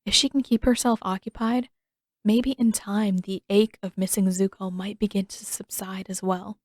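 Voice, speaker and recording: A woman reading story narration in a measured, expressive storytelling manner. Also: medium pitch, husky, no room sound, clean studio sound. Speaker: fan fiction narrator.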